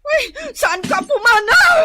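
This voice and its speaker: A woman playing a furious young wife who scolds her husband in a shrill, tearful way, acted for radio drama. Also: sing-song, slow, shouting, heavy background noise, exaggerated voice. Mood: enraged, tearful.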